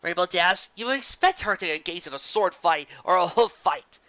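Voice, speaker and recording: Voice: mid-range voice, animated, moderate pace, pushed and bright, no room sound. A person reading and discussing fan fiction in an emphatic, informal, dramatic way. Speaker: fan fiction reader and reviewer. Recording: dull lo-fi sound.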